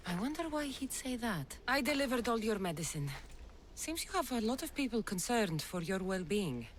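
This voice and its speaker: A woman's voice: fairly high pitch, big pitch swings, normal speed, a little hiss or hum. An actress playing a tough, confident female mercenary with a dry, wry manner in a video game cutscene. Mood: curious.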